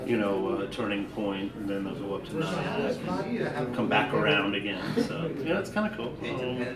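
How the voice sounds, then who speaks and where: A man's voice: lowish voice, moderate pace. A man being interviewed as a venue owner, chatting casually in a rambling way and trailing off mid-thought. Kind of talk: casual chat. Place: busy live music restaurant and bar. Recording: echoey live venue, distant mic, loud crowd.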